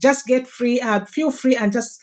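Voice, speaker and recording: A woman's voice: on the high side, unhurried. A woman hosting a conversational livestream, speaking in an earnest, effusive way as she promotes products. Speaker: host promoting health products.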